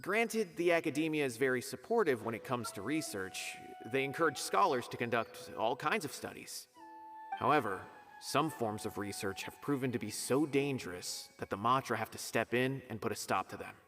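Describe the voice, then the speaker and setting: An earnest young scholar from a video game, his mid-pitched voice moving with lively intonation. He explains things patiently and carefully. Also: normal speed, soft background music. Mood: explaining.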